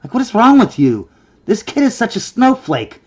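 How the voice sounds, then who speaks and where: A man's voice: very high voice, huge pitch swings, normal speed, a little mellow, slightly rough, muffled recording. A man hosting a reaction video, ranting in a sarcastic, scornful way and mocking the clip he watches. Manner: sarcastic, scornful, mimics in a whiny voice.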